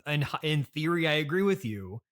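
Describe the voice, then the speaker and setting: A man hosting a casual comedy interview, speaking in a halting, hesitant way as he gently reasons with his guest. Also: on the high side, very animated. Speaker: podcast host.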